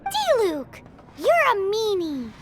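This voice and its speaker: Tiny, very high-pitched fairy girl. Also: huge pitch swings, takes it slowly, over music, full character voice. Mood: pouting. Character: small childlike floating fairy girl, cheeky and talkative. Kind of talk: video game dub acting.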